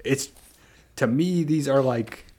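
A man's voice: mid-range voice, slow pace, dark tone, slightly rough. A man co-hosting a podcast, hesitant and sarcastic in casual banter. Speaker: podcast co-host.

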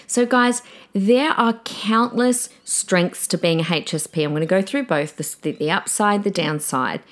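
A middle-aged woman hosting a podcast in a chatty, excitable way, often calling her listeners guys, her voice slightly croaky. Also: on the low side. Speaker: podcast host; preacher. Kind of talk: casual podcast monologue.

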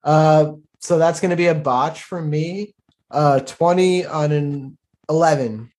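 A man plays a tabletop roleplaying game and describes the action as he goes. His delivery is casual and halting, in a slightly high male voice. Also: darkish tone. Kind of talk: casual improvised roleplay narration.

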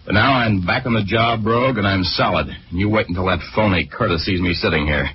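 Actor as brash young reporter, noisy old radio. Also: male voice, fairly low pitch, a little fast, close to an everyday voice. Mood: cocky. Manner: combative, slangy, taunting. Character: brash, cocky young male newspaper reporter with a grudge. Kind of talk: 1940s radio drama acting. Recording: noisy, muffled 1940s radio recording.

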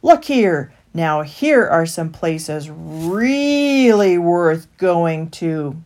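A woman voicing a sly, sneering male rat who trades at a market, drawling loudly and slowly. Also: on the low side, animated. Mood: sly sales pitch. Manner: drawling slow and loud.

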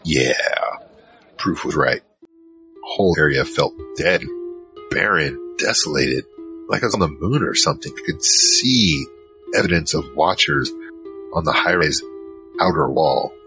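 A man's voice: a bass voice, lively intonation, measured pace, long pauses, music in the background, full character voice. A man playing a curious, hesitant adventurer who recounts his story in a conversational first person for an audio drama. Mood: reflective, conversational. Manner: conversational, hesitant, searching for words. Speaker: narrator and lead character. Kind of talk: in-character first-person scripted narration.

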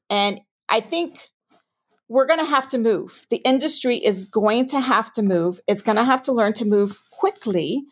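A woman from New York with a New York accent, answering interview questions as a compliance officer in a measured, explanatory way. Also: medium pitch. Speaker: chief ethics and compliance officer.

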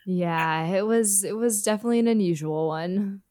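A woman's voice: mid-range voice, moderate pace, warm tone, no room sound, studio quality. A young adult woman hosting a podcast, talking in a chatty way with fillers and laughter. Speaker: podcast host. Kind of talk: conversational podcast interview.

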